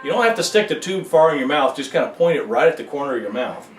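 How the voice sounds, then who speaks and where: A man's voice: a little high, lively intonation, fast, music in the background. Man giving an echoey casual gear tutorial. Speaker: music gear instructor. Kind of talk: casual hands-on video tutorial. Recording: echoey room, faint background noise.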